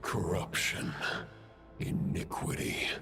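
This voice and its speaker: A man's voice: slow pace, over music, close to an everyday voice. A man voicing an ancient, wrathful otherworldly being in a video game, ominous and imperious. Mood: menacing, scornful.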